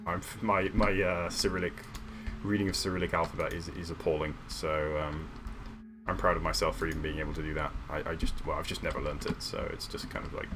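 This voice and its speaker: A man in his thirties chatting casually on a live stream as he builds in a game, halting and full of fillers and restarts. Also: deep voice. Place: small room at home. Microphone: desk mic at a computer. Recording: background music under the voice.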